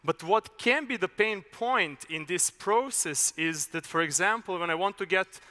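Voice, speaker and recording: A young man from Serbia, giving a measured, explanatory conference talk with a few filler uhs. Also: high-pitched, sharp tone. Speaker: conference speaker. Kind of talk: conference presentation. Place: large conference hall. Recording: reverberant hall.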